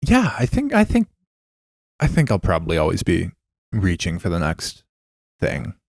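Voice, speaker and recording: A man's voice: low-pitched, wildly swooping pitch, moderate pace, darkish tone, no room sound, studio quality. A man co-hosting a podcast in casual chat, joking and offhand, swearing casually. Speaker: podcast co-host.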